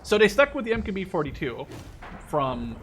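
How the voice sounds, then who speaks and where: A man's voice: high-pitched, very animated, normal speed, background noise. A man in his twenties from the Netherlands giving casual, rambling gameplay commentary, often correcting himself and swearing casually. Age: 20s. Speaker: gaming video host.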